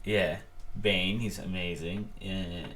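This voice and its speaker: Low-voiced man chatting casually. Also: steady tone, slow, fairly bright tone. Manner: laughs often, many fillers and restarts. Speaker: podcast co-host. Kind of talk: casual film chat.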